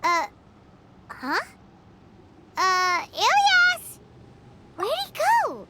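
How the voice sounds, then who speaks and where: A woman voicing a small, childlike, cheerful and curious fairy companion in a video game, squeaky and naive. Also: wildly swooping pitch, very slow, exaggerated voice. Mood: puzzled, worried. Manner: speaks of self in third person, exclaiming. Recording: very noisy game screen capture.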